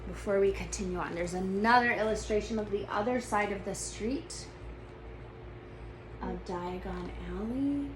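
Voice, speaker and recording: A woman narrates a children's storybook expressively, like a teacher reading to a class. Her pace is slow and her pitch is average for a woman. Faint noise can be heard behind her.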